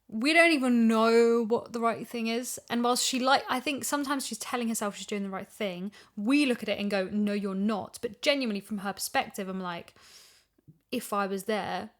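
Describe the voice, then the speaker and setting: A woman's voice: on the high side, fairly bright tone. A woman in a casual podcast discussion, making her case emphatically and reasoning it through as she talks. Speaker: podcast guest and voice actor. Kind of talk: casual discussion of an audio drama.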